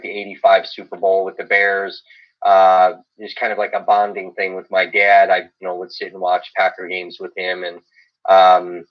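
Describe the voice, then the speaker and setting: A middle-aged American man from Illinois chatting casually and emphatically, repeating phrases for weight. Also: deep voice. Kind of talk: casual chat. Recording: dull, band-limited stream audio.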